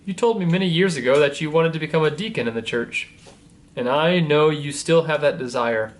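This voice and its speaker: A man's voice: fairly high pitch, fairly quick, natural voice. A man voicing a kindly old Pope in a storybook, speaking in an assured, fatherly way.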